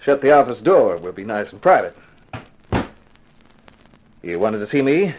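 An actor playing a wisecracking, slangy private detective in a 1950s radio crime drama. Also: male voice, fairly low pitch, sing-song, a little slow, a little mellow, dull audio. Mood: brisk, businesslike. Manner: slangy, wry, tough-guy talk. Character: wisecracking hard-boiled private detective, narrating his own case.

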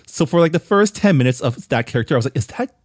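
Relaxed, thoughtful male film reviewer, dry studio recording. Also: on the high side, quick pace, dry recording. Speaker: film podcast co-host. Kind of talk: casual film review chat.

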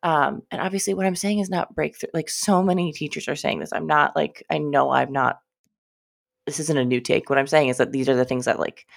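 Young woman with a cold, nasally and stuffy, super gravelly fry, rambly podcast chat. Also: deep voice, steady tone, fairly quick. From United States. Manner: rambling, chatty, swears casually, many fillers. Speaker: podcast host. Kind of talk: casual solo podcast monologue.

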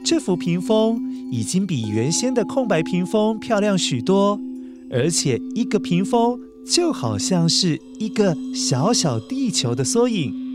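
A woman's voice: medium pitch, big pitch swings, a little slow. A woman narrating a children's story expressively, speaking directly to young listeners. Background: faint music.

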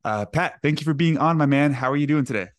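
A man's voice: fairly high pitch, quick pace, no room sound, studio quality. A man hosting a podcast in casual chat, upbeat and often tagging "right?" onto his points. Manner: upbeat, peppers talk with "right?". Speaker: podcast host.

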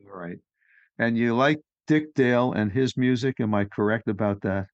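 A man's voice: medium pitch, normal speed, dry recording, lo-fi sound. A man from New York hosting a podcast interview, chatty and opinionated, asking leading questions. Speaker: podcast host.

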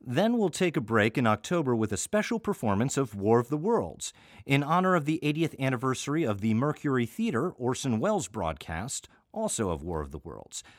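A man's voice: mid-range voice, big pitch swings, a little fast, dry recording, clean studio sound. An American man hosting an audio theater podcast, talking conversationally in a wry, chatty manner with playful asides. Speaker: host and artistic director of an audio theater. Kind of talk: conversational podcast introduction to a show's season.

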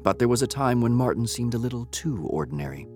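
A man giving measured, literary narration for an audio drama, telling the story in the third person. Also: medium pitch, animated, darkish tone, soft background music.

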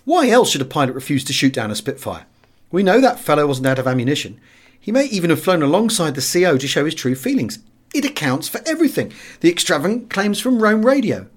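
A man with a British accent voicing an earnest, excitable fighter pilot reasoning aloud in an audiobook memoir. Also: fairly high pitch, fast, voice pushed up. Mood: excited.

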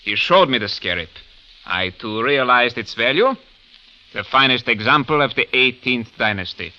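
Polite scheming doctor villain, very sharp forceful voice, precise, muffled old radio. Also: male voice, medium pitch, normal speed. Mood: smooth, insistent. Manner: formal, precise, smoothly insistent. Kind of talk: 1940s radio drama acting. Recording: dull old radio recording.

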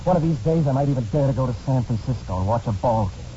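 A man acting in a 1940s radio drama as a bitter, disfigured former athlete who hides from people, brooding and halting. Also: mid-range voice, lively intonation, dark tone, lightly acted. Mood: wistful. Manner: brooding, self-pitying, halting. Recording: muffled, noisy 1940s radio recording.

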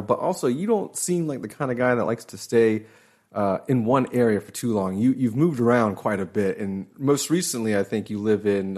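A man interviewing a musician, asking long rambling questions full of you know and like. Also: medium pitch. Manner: rambling, chatty, heavy on fillers like you know and like. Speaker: podcast interviewer. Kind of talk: casual interview conversation.

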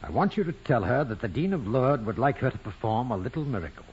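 A man's voice: medium pitch, sing-song, fast, husky. An actor playing a stern, commanding male priest in an old radio drama, formal, imperious and sardonic. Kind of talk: 1940s radio drama acting. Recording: muffled old radio recording with hiss.

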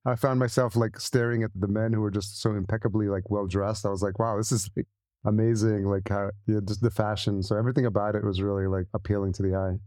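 A man's voice: lowish voice, level tone, brisk, a little mellow, dry recording. A man hosting a podcast, speaking in a conversational interview style. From El Salvador heritage, lives in the US. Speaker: podcast host. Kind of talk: casual interview chat and sponsor read.

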